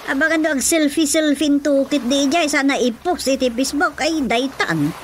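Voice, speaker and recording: Actress as gossipy village mother, slightly high female voice, Ilocano accent, grumbling, radio drama with slight noise. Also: moderate pace, natural voice. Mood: complaining.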